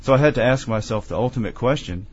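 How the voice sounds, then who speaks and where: A man from Tennessee, an author being interviewed, telling his story in a measured, earnest way. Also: mid-range voice, expressive, husky, dull audio. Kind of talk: conversational interview.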